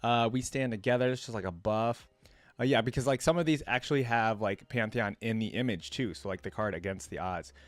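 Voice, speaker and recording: A man's voice: lowish voice, dry recording. Casual man reacting, dry close sound. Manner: informal, thinking aloud. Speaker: reaction video host. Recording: music playing behind.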